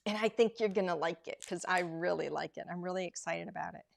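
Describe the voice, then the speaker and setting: A woman hosting a live crafting demonstration, chatty and rambling with plenty of filler words, her voice going hoarse as she loses it. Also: fairly low pitch, quick pace, slight background noise. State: losing her voice. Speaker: craft show host.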